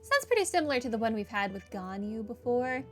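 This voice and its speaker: A woman's voice: on the high side, big pitch swings, fairly quick. A woman giving casual playthrough commentary on a video game with wry, playful asides. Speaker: game streamer. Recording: game music behind the voice. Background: video game music.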